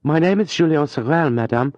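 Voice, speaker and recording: A man's voice: a little high, expressive, fairly quick, a little mellow, no room sound, lo-fi sound, voice pushed up, close to an everyday voice. A man voicing a poor, shy young tutor who speaks earnestly and falters at times.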